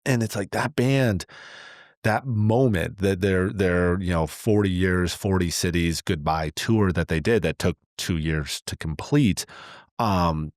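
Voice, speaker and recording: A man's voice: deep voice, measured pace, no echo, clean studio sound. A man in his forties co-hosting a podcast, talking casually and reflectively in a rambling way full of "like" and "you know". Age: 40s. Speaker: podcast co-host.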